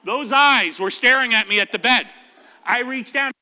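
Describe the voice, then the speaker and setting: A man's voice: very high-pitched, moderate pace, sharp tone, clear, resonant tone. A man with an American accent telling stories to children in an informal, jokey way, as a firefighter giving a talk. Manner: informal, jokey, asks the listeners questions. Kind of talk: animated storytelling to children. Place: reverberant indoor library room. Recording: reverberant hall.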